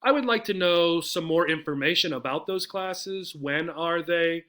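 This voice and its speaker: A man's voice: on the high side, a little slow, sharp tone. A man speaking as an English teacher, explaining in a conversational way with frequent check-ins and acted-out example phrases. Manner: conversational, often checks in with "right?". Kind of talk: explanatory lesson with example phone messages.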